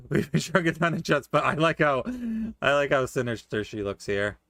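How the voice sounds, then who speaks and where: A man's voice: mid-range voice, expressive, moderate pace, gravelly, fairly bright tone. A man giving casual gameplay commentary as he streams a video game.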